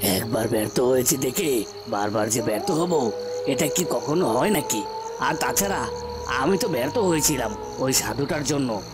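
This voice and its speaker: A man's voice: medium pitch, expressive, moderate pace, loud music behind the voice, natural voice. A man voicing a scheming usurper villain in a fairy tale cartoon, commanding and self-assured. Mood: scheming, confident. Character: scheming usurper villain plotting against the prince.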